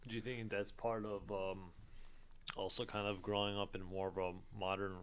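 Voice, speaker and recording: A man's voice: on the low side, little pitch movement, moderate pace, a bit bright, clean tone. A young man hosting a podcast, asking curious questions and thinking aloud on a stand mic in an office room. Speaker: podcast host. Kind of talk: casual conversation. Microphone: studio mic on a stand.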